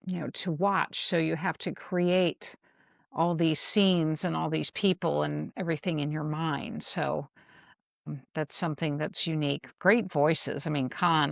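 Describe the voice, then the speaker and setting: Woman with a creaky, dull-sounding voice. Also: on the low side, dry recording. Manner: halting, reflective. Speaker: podcast co-host. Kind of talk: casual discussion of an audio drama.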